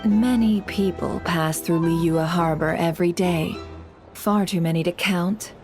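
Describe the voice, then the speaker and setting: A woman playing a sly, smooth-talking businesswoman in a video game, coy, polite and measured. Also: fairly low pitch, fairly even intonation, over music, natural voice. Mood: coy, teasing.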